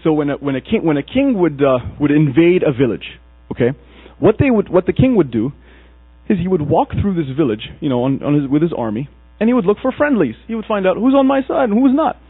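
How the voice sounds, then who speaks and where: A man's voice: a little high, fairly quick, no echo. Man from Egypt preaching over a tinny line. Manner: storytelling, animated, acts out quoted characters. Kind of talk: sermon. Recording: husky voice over a tinny, dry, phone-like recording with mains hum.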